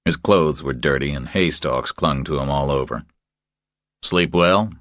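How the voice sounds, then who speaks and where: A man's voice: very deep voice, fairly even intonation, moderate pace, no room sound, clean studio sound. A man reading a crime thriller audiobook as scripted narration.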